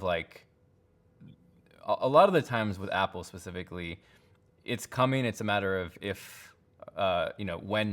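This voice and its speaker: Male guest, deep voice, thoughtful and opinionated conversational delivery. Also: fairly bright tone. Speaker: podcast guest, tech YouTuber. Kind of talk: casual tech discussion.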